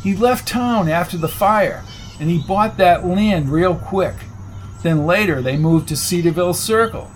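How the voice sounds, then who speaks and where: A man's voice: high-pitched, a little slow, a little hiss or hum. A man voicing a cocky, needling male football coach in an audiobook, talking in a confident, probing, sardonic way. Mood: earnest, probing.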